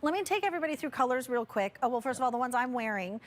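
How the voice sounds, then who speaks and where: A woman's voice: sits high, animated, very fast. A woman hosting a home shopping show, chatting informally and gushing as she presents products live.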